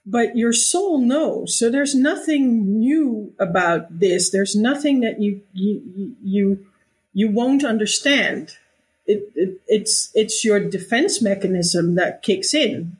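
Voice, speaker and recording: A woman's voice: medium pitch, rough recording. A woman in her fifties, a guest in an interview, speaking reflectively with many restarts and easy laughter. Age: 50s. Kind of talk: conversational interview.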